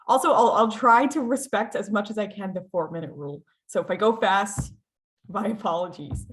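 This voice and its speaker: A woman's voice: mid-range voice, normal speed. An American woman presenting her school on a video-call webinar, upbeat and chatty, with frequent filler sounds and restarts. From United States.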